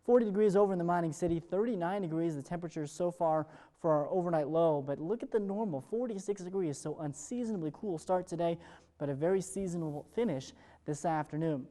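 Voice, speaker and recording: A man's voice: fairly high pitch, quick pace, dark tone. A man presenting a live morning weather forecast as a television meteorologist, in upbeat, ad-libbed broadcast patter.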